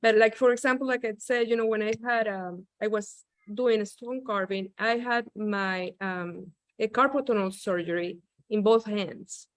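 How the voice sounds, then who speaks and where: A woman's voice: medium pitch, moderate pace, few pauses, no echo. Venezuelan woman on a video call, hesitant. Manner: hesitant, many "you know" and "um" fillers. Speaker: visiting artist. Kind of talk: casual chat on a video call.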